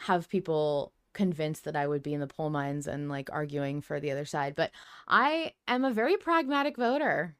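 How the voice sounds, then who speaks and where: A woman's voice: deep voice, animated, moderate pace, fairly bright tone, clean tone. A woman co-hosting a podcast in casual, opinionated chat, blunt and emphatic, swearing freely. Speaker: podcast co-host discussing a fiction series.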